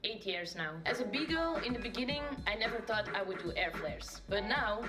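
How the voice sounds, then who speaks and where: A woman in her twenties introducing herself to camera in a bright, encouraging way. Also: medium pitch, animated, a little fast, far from the mic, loud music behind the voice. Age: 20s.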